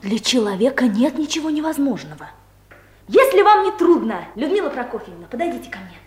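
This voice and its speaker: Brisk young female secretary, radio comedy; animated, blunt, sure of herself, fairly high voice. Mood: instructing. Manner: instructive, emphatic, self-assured. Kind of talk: 1970s Soviet radio comedy acting.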